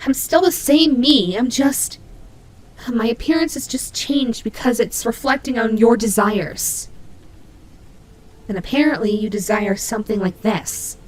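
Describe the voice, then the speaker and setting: Cute demon bunny girl, woman performing: high voice, unhurried pace, proud tsundere grumbling with indignant stammers, audio roleplay. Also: slight background noise, natural voice, low-quality recording. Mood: wary confusion. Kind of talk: scripted audio roleplay acting.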